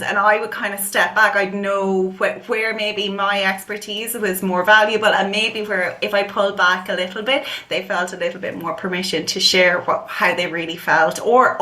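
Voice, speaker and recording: Irish woman, research consultant, bright clear voice, relaxed panel talk. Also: mid-range voice. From Ireland.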